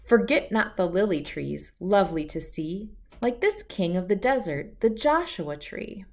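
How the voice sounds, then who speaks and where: Slow-paced woman reading a storybook. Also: medium pitch, big pitch swings, a little mellow. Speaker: children's book reader. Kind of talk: read-aloud of a rhyming children's picture book.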